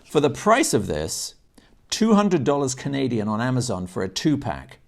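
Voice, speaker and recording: A man's voice: medium pitch, huge pitch swings, moderate pace, vocal fry. A man in his sixties giving a casual unboxing and review talk to camera, chatty and explanatory, leaning on key points. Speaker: product reviewer. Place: indoors, garage-like room.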